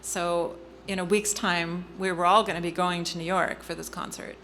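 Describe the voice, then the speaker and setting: Middle-aged woman telling her family story, lowish bright voice, earnest, lots of ums. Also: little pitch movement, slight background noise. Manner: earnest, halting with frequent ums and restarts. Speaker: guest speaker. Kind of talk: personal story in a public interview.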